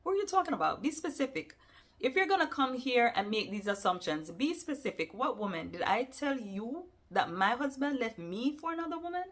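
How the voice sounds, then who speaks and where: A woman's voice: fairly high pitch, fairly quick. A woman from Jamaica with a Jamaican accent tinged with New York, answering viewer questions in a casual, emphatic vlog. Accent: Jamaican mixed with New York. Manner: animated, emphatic, addresses viewers directly.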